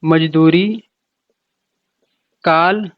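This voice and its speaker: A man's voice: fairly high pitch, fairly even intonation, drawn-out words, no room sound. A man giving an informal explanatory lecture as an exam coach, repeating points and asking then answering his own questions. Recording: dull lo-fi sound.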